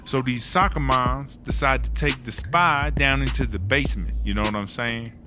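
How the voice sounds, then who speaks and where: A man's voice: mid-range voice, moderate pace, lightly acted. Man playing a brash streetwise female ex-con over music. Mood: brash storytelling. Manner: slangy, profane, repeats rhetorical tag questions. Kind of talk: comedic monologue storytelling in audio drama.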